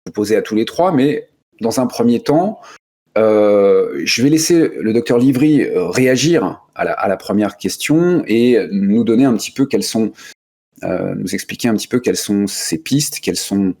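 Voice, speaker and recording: A man's voice: on the low side, animated, moderate pace, warm tone. A male host opening a radio discussion show, speaking with frequent hesitations and restarts. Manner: hesitant, many fillers and restarts.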